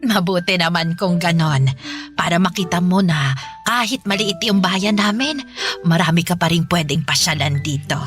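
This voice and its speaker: A woman playing a warm, modest middle-aged mother from the provinces in an audio drama, with a husky voice. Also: on the low side, moderate pace, natural voice. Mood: warm, welcoming. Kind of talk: Tagalog audio drama acting. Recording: music behind.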